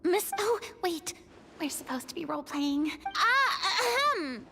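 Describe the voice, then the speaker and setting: Girlish anime game heroine, really high and swoopy, eager, gets flustered, music behind. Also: sing-song, measured pace, heavily acted. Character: excitable, earnest young girl adventurer playing along. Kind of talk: anime video game voice acting.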